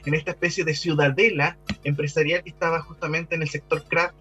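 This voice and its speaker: A man's voice: on the high side, flat delivery, brisk, fairly bright tone, soft background music. A man with a Chilean accent speaking as a guest expert, explaining in a conversational, discursive interview style with some repeated words. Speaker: guest expert on local architectural history.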